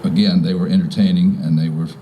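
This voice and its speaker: A man in his fifties or older from Virginia giving an informal, halting welcome speech as a property owner. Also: deep voice, little pitch movement, mellow, slight background noise, rough recording. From Virginia, United States. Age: 50s or older. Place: outdoors in front of a house.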